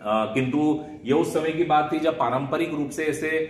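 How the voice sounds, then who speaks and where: A man's voice: on the high side, normal speed. An Indian man giving an explanatory law lecture in a didactic way, restating terms in two languages. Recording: echoey room, faint background noise.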